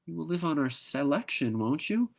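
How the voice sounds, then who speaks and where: Storybook little girl, acted by a man: lifted high voice, earnest and affectionate. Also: sing-song, normal speed, vocal fry, full character voice. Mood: pleading.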